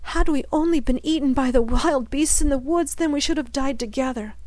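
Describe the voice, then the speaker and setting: Woman reader voicing a scared, brave little sister; earnest, pleading, higher-pitched, dry recording. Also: fairly quick, darkish tone, no echo, lightly acted. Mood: grieving. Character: frightened young girl, brave sister.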